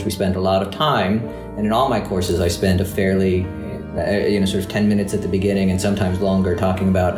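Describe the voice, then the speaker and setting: Low-pitched male professor, discursive podcast guest, roomy echo with music under it. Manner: discursive, many restarts and asides. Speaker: professor of international relations. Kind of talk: podcast conversation.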